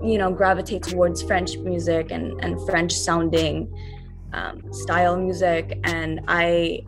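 Earnest young woman singer, low voice. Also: flat delivery, measured pace, over music. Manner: thoughtful, earnest, with frequent fillers and restarts. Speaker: R&B singer-songwriter, interview guest. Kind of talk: casual interview answers.

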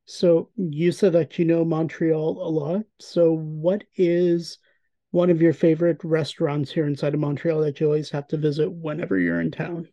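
An interviewer with a very dark, mellow tone asks long, friendly questions. The sound is dull and lo-fi. Fillers pop up between phrases. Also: mid-range voice, flat delivery, normal speed. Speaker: interviewer, host. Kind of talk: interview questions and event announcement.

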